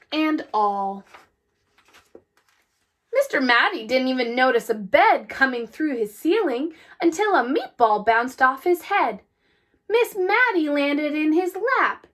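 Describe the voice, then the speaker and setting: Casting: female picture-book narrator, high pitch, very animated intonation, expressive and deliberate. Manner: deliberate, expressive phrasing with emphasized words. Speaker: storybook reader. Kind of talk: children's read-aloud, scripted narration.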